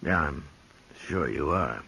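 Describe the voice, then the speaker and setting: An actor playing a stoic, weary frontier marshal with an American accent, dryly authoritative, in 1950s radio style. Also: male voice, rumbling low, lively intonation, slow, creaky, bright, forceful tone, slightly rough, heavily acted. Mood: dry, unruffled. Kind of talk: 1950s western radio acting.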